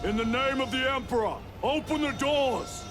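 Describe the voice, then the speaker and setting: A battle-hardened space soldier from a dramatic game cutscene. He speaks in a fairly high register for a man, with clipped, stoic authority and guarded command. Loud music runs behind him. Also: normal speed. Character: stern veteran armored space soldier, male, guarded and commanding. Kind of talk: dramatic video game acting.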